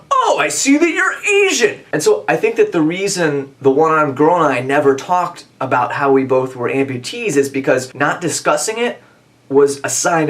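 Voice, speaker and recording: A young American man in his twenties telling a comic scripted story to camera as a vlogger, with animated, emphatic delivery. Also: a little high. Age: 20s. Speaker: video blogger and motivational speaker.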